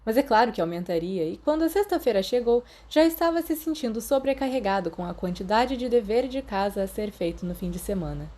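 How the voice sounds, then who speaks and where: Mellow Brazilian woman reading fiction. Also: fairly high pitch, lively intonation, fairly quick, hardly any pauses. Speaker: audiobook narrator. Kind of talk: scripted fiction narration.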